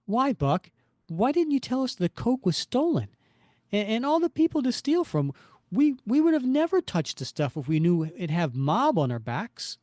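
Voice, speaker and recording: Man voicing an anxious, high-pitched woman. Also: huge pitch swings, normal speed, darkish tone, dry recording, clean studio sound. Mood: distressed reproach. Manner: anxious, reproachful. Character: frightened, pleading young woman in crime comic.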